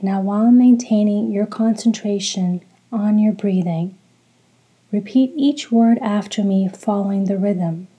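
A woman leading a guided meditation in a soft, breathy voice, calm and deliberate, speaking in short soothing phrases. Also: medium pitch, low-quality recording. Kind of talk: slow guided meditation with religious recitation.

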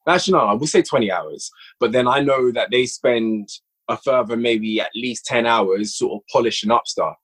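A man's voice: mid-range voice, normal speed, fairly bright tone, husky. A man chatting casually as a podcast guest, reflective and repeating phrases for emphasis as he explains his creative work. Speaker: podcast guest, audio drama writer and director. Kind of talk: casual interview chat.

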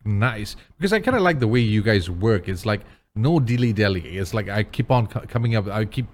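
A man's voice: on the low side, expressive, fairly quick. A man hosting an interview, chatting in a loose, enthusiastic way. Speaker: interview host. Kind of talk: casual interview chat.

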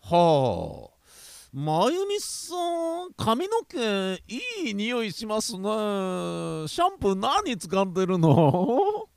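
A man's voice: sits high, huge pitch swings, extremely slow, vocal fry, no room sound, exaggerated voice. A man playing a lecherous, over-familiar police detective in an audio drama, with a smarmy, theatrical way of talking. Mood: leering, playful.

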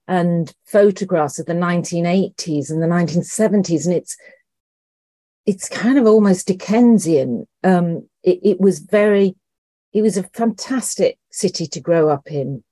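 Slow, halting woman, lowish voice. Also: warm tone, no echo. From Liverpool, England. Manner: thoughtful, halting, searching for words. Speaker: interview guest, writer and actress. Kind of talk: conversational interview answers.

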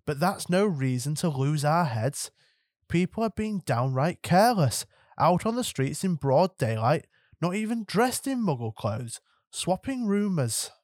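A stern older witch professor speaks in a high, clipped, impatient way. A man lifts his voice to play her. It is a storybook reading in a dry, close sound. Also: very animated, measured pace, dry recording, heavily acted. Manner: clipped and impatient, rising to distress.

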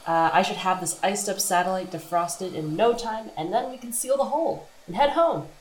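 Give me a peppy woman engineer, played by a woman, eager and quick. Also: medium pitch, reverberant, slight background noise, close to an everyday voice, low-quality recording.

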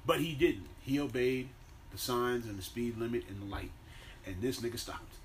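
A man's voice: fairly even intonation, moderate pace, low-quality recording. An American man co-hosting a podcast, telling stories in a casual, slangy way with plenty of swearing. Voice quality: deep-voiced by own claim. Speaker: podcast co-host. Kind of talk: casual profane banter and animated storytelling. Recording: faint background noise.

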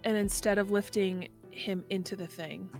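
A woman playing a defensive, defiant young mechanic in a tabletop game, explaining in a halting, insistent way. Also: medium pitch, steady tone, a little mellow, soft background music, lightly acted. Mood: sheepish explaining. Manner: halting, insistent, self-justifying.

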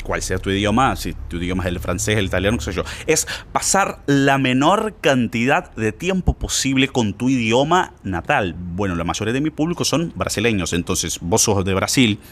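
Man, casual language teacher, very expressive pitch, slangy, pushy, talks straight to the listener. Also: moderate pace, hardly any pauses. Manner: informal, insistent, slangy, addresses listener directly. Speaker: Spanish teacher for learners. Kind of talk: casual spoken lesson.

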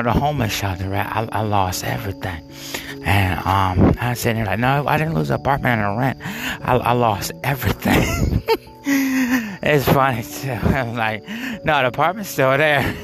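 A man telling a rambling personal story, full of fillers, restarts and bursts of laughter at himself. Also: on the low side. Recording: music playing behind.

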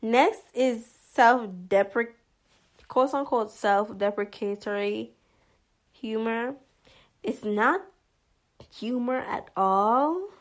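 A woman vlogger giving casual commentary in a monotonous voice, hesitant, with many fillers and restarts. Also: fairly high pitch, slow pace, pauses often.

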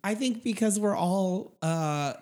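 A woman from Tennessee co-hosting a casual podcast, raspy from being sick, blunt and swearing freely with frequent laughs. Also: mid-range voice, animated, slow, a little mellow. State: raspy from a recent illness. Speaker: podcast co-host. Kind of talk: casual chat.

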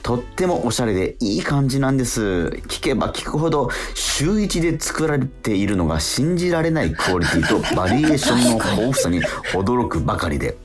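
A man's voice: mid-range voice, sing-song, brisk, few pauses. A man hosting a casual radio talk show, chatting effusively and reading out listener mail. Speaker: radio show host and actor. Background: background music.

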